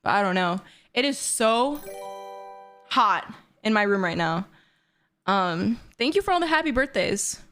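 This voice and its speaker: A woman in her twenties chatting excitedly and bubbly on a livestream from her bedroom. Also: medium pitch, a little slow, long pauses, soft background music. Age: 20s. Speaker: streamer. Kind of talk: casual livestream chat.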